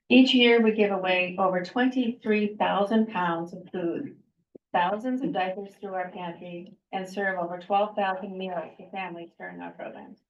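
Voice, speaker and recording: A woman from Vermont delivers a prepared talk to a meeting room, earnest and a little hesitant with fillers. Her voice sits in a middle range. The recording carries a roomy echo and a dull, muffled tone. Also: medium pitch. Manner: earnest, reads with pauses and fillers. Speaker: guest speaker. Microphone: handheld microphone, some distance. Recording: echoey room, dull lo-fi sound.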